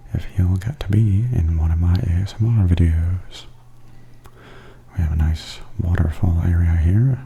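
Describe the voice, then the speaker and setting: A man giving soft-spoken, hushed and often whispery ASMR commentary as a video host. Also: sits low, creaky, slightly rough. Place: voice-over added after filming.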